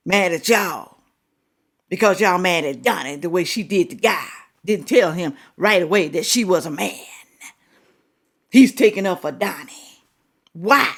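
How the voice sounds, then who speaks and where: A woman hosting a reaction video, talking casually, scolding and animated with drawn-out exclamations. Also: lowish voice.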